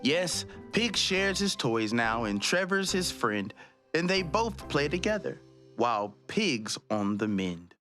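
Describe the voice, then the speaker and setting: A man hosting a children's read-aloud, addressing young viewers directly with drawn-out emphasis. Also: mid-range voice, big pitch swings, sharp tone, faint music behind. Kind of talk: presenting and reading a children's picture book.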